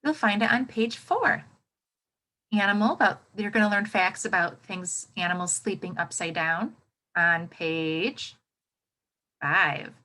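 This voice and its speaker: A woman teacher giving a read-aloud lesson to young children, expressive and instructive, often checking in with a quick question. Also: mid-range voice. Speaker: elementary teacher.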